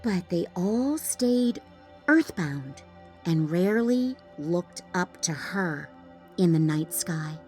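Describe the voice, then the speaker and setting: A woman reads a picture book to children with dramatic emphasis. Her delivery is extremely slow and very animated. Faint music plays behind her storytelling. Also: fairly low pitch. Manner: dramatic, deliberate emphasis for young listeners. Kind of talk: children's storybook read-aloud.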